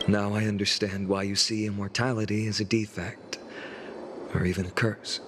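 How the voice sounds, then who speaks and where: An actor playing a grave, thoughtful companion in video game dialogue, speaking formally. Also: male voice, lowish voice, little pitch movement, normal speed, natural voice. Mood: thoughtful, sombre. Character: grave, thoughtful companion listening to a warrior's tale. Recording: loud music behind the voice. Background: loud game music.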